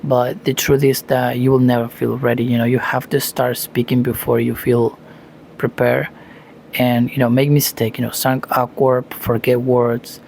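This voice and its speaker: Argentine guy, spanish first language, gravelly voice, pretty monotone, says "you know" a lot, bit of faint hiss behind. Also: mid-range voice, moderate pace. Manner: leans on "you know" and "um", restarts phrases. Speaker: language learning youtuber. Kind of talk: casual talking-head advice.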